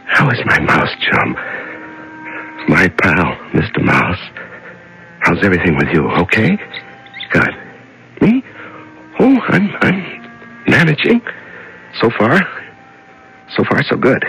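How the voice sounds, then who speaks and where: A lonely captive fighting to keep his sanity in a vintage radio play. He mutters to himself very slowly and haltingly, in a low, gravelly voice with a tense edge. It is dramatic, haunted radio acting. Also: huge pitch swings, pushed and bright, a little hiss or hum, heavily acted, low-quality recording. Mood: tender, fragile calm. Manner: halting, muttering to himself, swinging from whispers to cries. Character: frightened lonely man locked in solitary darkness, clinging to sanity.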